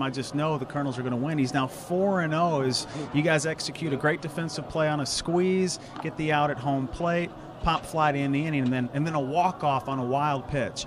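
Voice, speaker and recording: A young man hosting a post-game interview at a ballpark, upbeat and polished as he runs through stats. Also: fairly high pitch. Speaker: sports interviewer. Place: outdoor ballpark stands. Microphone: handheld mic. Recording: outdoor ballpark with crowd nearby.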